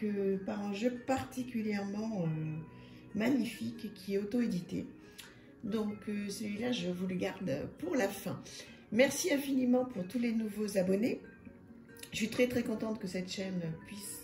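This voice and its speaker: A slightly hoarse woman hosting her own channel, reviewing card decks in a soft, hesitant way full of fillers. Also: fairly high pitch, echoey room. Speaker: tarot and oracle channel host. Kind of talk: casual card deck review.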